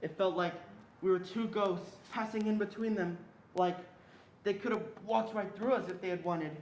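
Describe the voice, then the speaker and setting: An earnest young storyteller recalls a scared childhood in a reader's theatre piece , acted by a student reader. The voice sits at a middle pitch in a roomy, echoing space with faint noise. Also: fairly even intonation, moderate pace, darkish tone, natural voice. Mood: uneasy recollection. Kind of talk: scripted dramatic narration.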